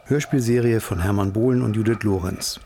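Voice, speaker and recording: A man's voice: lowish voice, fairly quick, mellow, a little hiss or hum, natural voice. A man announcing the titles of a radio play in a scripted, formal manner. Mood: measured, formal.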